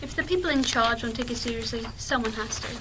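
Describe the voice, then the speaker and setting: A woman's voice: on the high side, fast, bright, forceful tone. A woman playing a determined, matter-of-fact local researcher in a fan audio drama. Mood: grave, insistent. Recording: distant mic, clear background noise.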